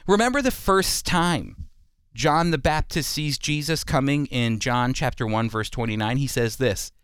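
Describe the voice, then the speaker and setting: A man hosting a radio Bible program, teaching and reading scripture in an emphatic, deliberate way with rhetorical questions. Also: mid-range voice, fairly bright tone, clear, resonant tone, no room sound, studio quality.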